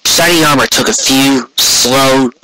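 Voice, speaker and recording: A man's voice: medium pitch, a little slow, pushed and bright, a little hiss or hum, rough recording. A man reading scripted fan fiction aloud as an amateur audiobook narrator.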